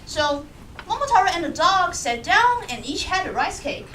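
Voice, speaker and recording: Casting: female live storyteller, high and animated, picked up from a distance with clear noise. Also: normal speed. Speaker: puppet show storyteller. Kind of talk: scripted live story narration. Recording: echoey room, distant mic, noisy.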